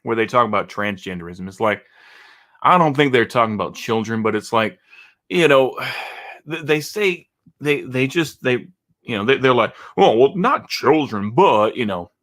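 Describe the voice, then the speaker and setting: A man's voice: mid-range voice, animated, normal speed, fairly bright tone. A man hosting a reaction video, talking in a rambling, mocking way with many restarts and a Texan accent. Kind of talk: casual commentary reacting to a video.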